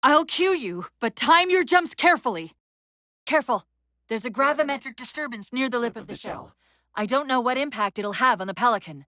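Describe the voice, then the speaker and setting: A female AI companion from a military sci-fi game, speaks in a high, very lively voice. She gives tactical callouts crisply and urgently, with dry wit under the commands. The sound is close and dry. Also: fairly quick, no room sound. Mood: focused. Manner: crisp, technical, commanding, dryly wry. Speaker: video game voice actor.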